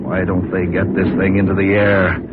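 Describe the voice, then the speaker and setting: An actor playing a nervous, furtive farmer on the run in a vintage radio drama. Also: male voice, low-pitched, level tone, close to an everyday voice. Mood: furtive, impatient. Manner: halting, muttering, turning frantic. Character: nervous, furtive farmer, a fugitive fleeing by plane. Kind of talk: 1940s radio acting. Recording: noisy muffled vintage radio recording, engine drone.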